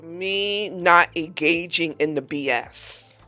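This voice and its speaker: A podcast host in their forties delivers a personal monologue at an unhurried, deliberate pace. The talk is frank and blunt, openly venting irritation while working through it. Quiet music sits faintly behind the voice. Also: medium pitch. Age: 40s. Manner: candid, blunt, vents frustration openly.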